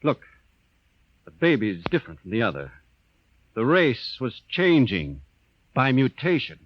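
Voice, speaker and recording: An actor playing a grave, brooding space explorer in a 1950 radio drama, speaking dramatically and deliberately. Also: male voice, mid-range voice, huge pitch swings. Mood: urgent, explaining. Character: grave, brooding male space explorer explaining a dead alien race. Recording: dull, band-limited 1950s radio recording.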